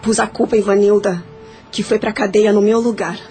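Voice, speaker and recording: Female actor as bitter imprisoned spirit, audio drama, resentful, medium pitch, faint music behind. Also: steady tone, normal speed, dark tone, close to an everyday voice. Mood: guarded, confessing.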